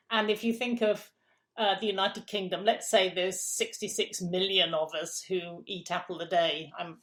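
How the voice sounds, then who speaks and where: A woman's voice: mid-range voice, a bit bright. A middle-aged woman from England, a guest in an interview, explaining food systems step by step with emphatic repeats.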